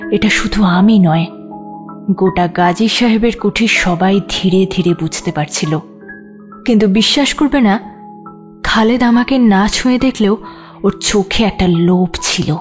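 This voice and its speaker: Actress as a confiding, wary courtesan over soft music. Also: female voice, mid-range voice, a little slow, lightly acted. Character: adult prostitute recounting her past, wary, frank, sometimes sharp-tongued. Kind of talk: Bengali audio story acting.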